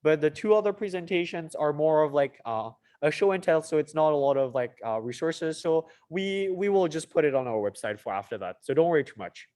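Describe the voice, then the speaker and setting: A young man with a fairly high voice hosts a student panel on a video call. He comes from Mauritius and talks informally, feeling his way through his phrases as he presents. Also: expressive, a little fast, few pauses, darkish tone. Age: young adult. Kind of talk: informal online presentation, hosting.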